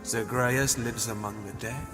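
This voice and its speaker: Slow, sinister rhyme reciter male actor. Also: medium pitch, fairly even intonation, natural voice. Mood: ominous. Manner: measured, ominous chanting. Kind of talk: audio drama recitation. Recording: long reverb, music underneath.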